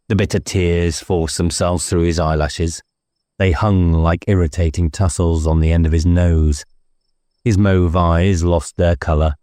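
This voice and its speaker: A man's voice: low-pitched, warm tone, no echo. A man narrating a novel dramatically as an audiobook reader, close to the microphone.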